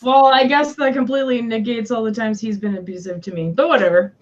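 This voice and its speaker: A woman chatting casually in a group stream, giggly and quick with asides. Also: on the high side, fairly even intonation. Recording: lo-fi mic.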